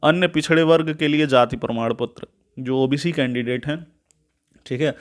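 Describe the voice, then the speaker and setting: A man's voice: on the high side, moderate pace. A man presents an informal step-by-step tutorial, instructive, reading out points, explaining them and often checking in with a short tag question.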